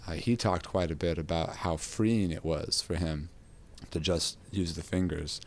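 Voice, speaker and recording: A man with a very low voice, roughened and raspy from a recent cold. He hosts a relaxed podcast conversation, drifting through his thoughts with frequent fillers. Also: a little slow. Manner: relaxed, rambling, with many fillers. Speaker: podcast host. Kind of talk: casual podcast chat about guitar.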